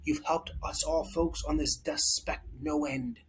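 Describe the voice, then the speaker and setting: A man's voice: on the high side, animated, measured pace, husky, exaggerated voice, low-quality recording. A man voicing a tiny, polite male mayor of a town on a speck of dust in a storybook, speaking small and faintly. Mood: grateful.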